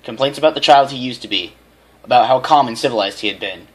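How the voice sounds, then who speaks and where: A man's voice: medium pitch, fast, low-quality recording. A man reading fanfiction aloud as an amateur, often stumbling over phrases and repeating them. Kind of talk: reading a story aloud with character voices.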